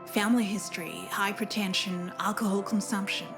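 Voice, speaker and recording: A woman's voice: medium pitch, fairly even intonation, lightly acted. Actress as a composed woman doctor over music. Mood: calm, clinical. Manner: measured, courteous, professional. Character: composed, polite woman doctor, admiring and tactful. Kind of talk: visual novel game voice acting. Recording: music behind the voice.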